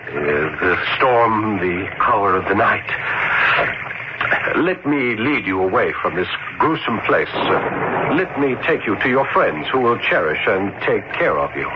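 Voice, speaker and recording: An actor playing an earnest, gallant young student in a radio drama, with a courtly, florid, tender delivery. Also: male voice, on the low side, very animated, unhurried, very noisy. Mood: gentle, pitying. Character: earnest, gallant, poor young male student in revolutionary Paris. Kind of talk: old radio drama acting and narration. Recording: muffled old radio broadcast.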